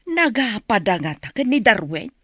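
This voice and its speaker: A woman's voice: fairly high pitch, very animated, normal speed, fairly bright tone, breathy edge, dry recording, exaggerated voice. A woman acting in an Ilocano radio drama as a blunt, disapproving elderly grandmother. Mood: suspicious, indignant.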